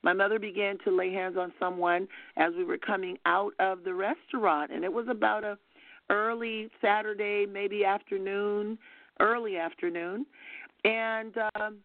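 An older woman minister, soft-spoken but fervent, telling a story as testimony on a call-in broadcast. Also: medium pitch, steady tone, normal speed, dry recording. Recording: phone-line audio.

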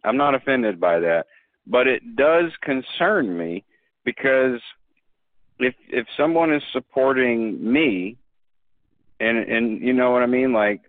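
A man's voice: medium pitch, no room sound. A man talking as a podcast guest, earnest and emphatic, often restarting his sentences. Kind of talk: casual conversation. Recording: dry phone-like line.

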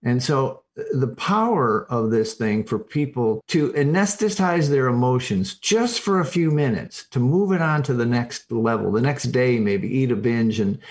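A mature man talks as an expert guest in an informal interview. His pitch sits in a medium range, and he explains with emphasis, often correcting himself and repeating phrases as he goes. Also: expressive, moderate pace, few pauses. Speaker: podcast guest, addiction recovery expert.

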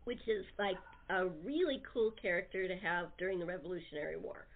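A woman's voice: on the low side, animated, brisk, slight background noise. A woman hosting a casual, chatty cast interview. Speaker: host of a cast interview show. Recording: narrow phone-line sound.

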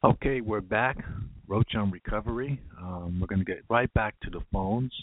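A man hosting a call-in radio show, brisk and keeping callers to the clock. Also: on the low side, very animated, creaky, narrow, tinny audio.

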